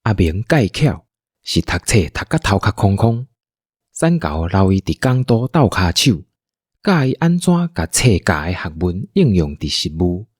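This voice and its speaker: A man with a Taiwanese accent narrating a scripted story as an audio drama narrator, in a husky voice. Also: deep voice, very animated, measured pace, darkish tone, no echo, clean studio sound.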